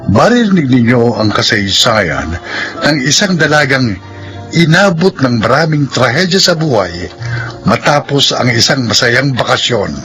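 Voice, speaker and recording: A man's voice: medium pitch, big pitch swings, a little slow, fairly bright tone. A man announcing a radio drama with a scripted introduction. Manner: announcer's delivery. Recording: broadcast radio with music bed.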